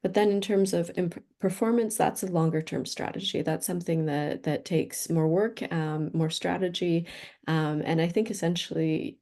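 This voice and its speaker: A woman with a mellow, low voice and some gravel answers questions in a webinar. She explains in a measured way. The sound comes from a video call.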